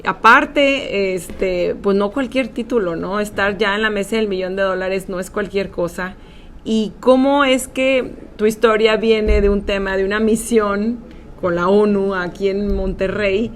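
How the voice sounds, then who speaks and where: A woman hosting an interview show in an upbeat, casual way, often filling pauses. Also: medium pitch, steady tone. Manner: upbeat, with frequent filler words. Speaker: podcast host. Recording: faint background noise.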